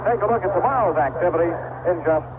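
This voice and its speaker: Tinny, noisy vintage American baseball radio announcer. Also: male voice, high-pitched, fast talker. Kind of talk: 1970s live radio sports commentary.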